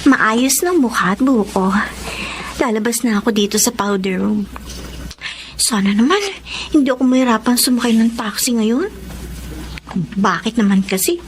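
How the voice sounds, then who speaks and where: A woman's voice: fairly high pitch, moderate pace, pauses often, slight background noise, natural voice. A woman acting a feisty, well-off young student in a Filipino radio drama, outspoken and indignant, with a Filipino accent. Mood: fretful.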